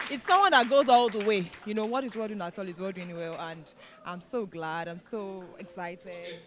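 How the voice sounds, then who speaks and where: A woman's voice: medium pitch, normal speed, crowd noise. A woman with a Nigerian accent giving a spontaneous testimonial to camera at a live event. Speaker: actor and producer. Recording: noisy live event interview.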